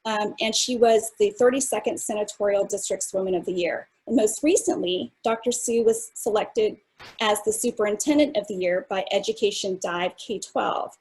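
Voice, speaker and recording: A woman hosts the event and reads a formal introduction for the guest speaker, upbeat as she builds to the handoff. Her voice is a little breathy and husky, at an ordinary pitch. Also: moderate pace, darkish tone, rough recording. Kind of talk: reading an introduction.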